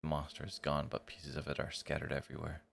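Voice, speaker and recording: A man's voice: rumbling low, monotone, fast, vocal fry. A man streaming a visual novel, reading its text aloud dramatically and breaking off for blunt, slangy, casual reactions. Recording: loud music behind the voice. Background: game music.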